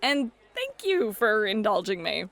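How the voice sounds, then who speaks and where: A woman playing a playful, flirty club hostess and game master in an ASMR roleplay, bubbly, teasing and expressive. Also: medium pitch, measured pace, vocal fry, exaggerated voice. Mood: warm, flirty. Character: playful, flirty club hostess running tabletop adventure games. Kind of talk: scripted ASMR roleplay, acted. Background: background music.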